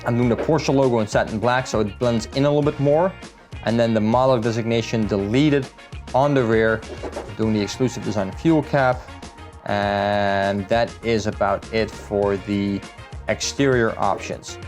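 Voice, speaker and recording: A man's voice: lowish voice, steady tone, moderate pace, darkish tone, faint music behind. A man from the Netherlands, talking through a car build in a casual, matter-of-fact vlog style as a salesman would.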